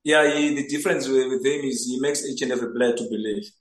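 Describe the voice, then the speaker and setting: An earnest young goalkeeper originally from Zimbabwe, answering questions in a flat, even voice that barely rises or falls. He stumbles and repeats words as he gathers his thoughts. The sound carries a noticeable room echo. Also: mid-range voice, fast. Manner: earnest, repeats words while searching for them. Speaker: footballer, goalkeeper. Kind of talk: interview answers over a remote link. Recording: echoey room.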